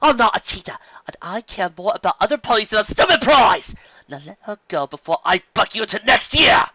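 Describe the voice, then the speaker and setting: A reader voicing a brave young mare farmer in a fan storybook reading, speaking loudly and forcefully. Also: medium pitch, animated, fairly quick, no room sound, dull audio, pitched above the performer's own voice. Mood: defiant.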